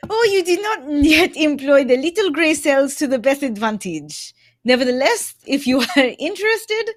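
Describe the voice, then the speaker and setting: A woman's voice: sits high, very animated, normal speed. A woman playing a dapper, self-assured male detective in a put-on French accent, with formal, courtly phrasing, in a radio drama reading. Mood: inquisitive. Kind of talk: live old-time radio drama reading.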